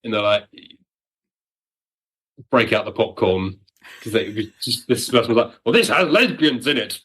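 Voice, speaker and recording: A man with a fairly low voice speaks as a writer being interviewed. He chats casually and wryly, slipping into little acted-out reactions as he explains things. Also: sing-song, moderate pace, fairly bright tone, breathy edge, rough recording. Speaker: audio drama writer, podcast guest.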